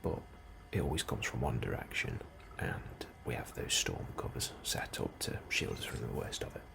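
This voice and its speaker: A man doing a soft, breathy ASMR role-play as an unhurried, politely understated officer interviewing a newcomer. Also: a bass voice. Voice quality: soft, breathy, often near whisper. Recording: steady ambient noise behind the voice. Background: computer and ambience sounds.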